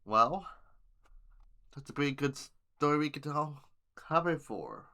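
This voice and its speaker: A man hosting an amateur news podcast, reading headlines haltingly with informal asides and stumbles over words and names. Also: a little high, expressive, sharp tone.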